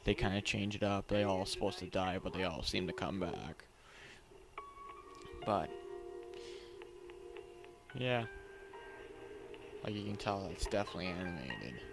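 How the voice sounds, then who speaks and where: A man with a lowish, gravelly voice talks through a video game review. His delivery is casual, unscripted and halting, wandering from thought to thought. Game music plays clearly behind him. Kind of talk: casual gameplay commentary. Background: game cutscene audio and music.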